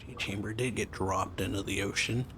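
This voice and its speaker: A man's voice: fairly low pitch, steady tone, moderate pace. A man giving casual game reaction commentary in brief, muttered interjections. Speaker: reaction channel host. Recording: game music behind.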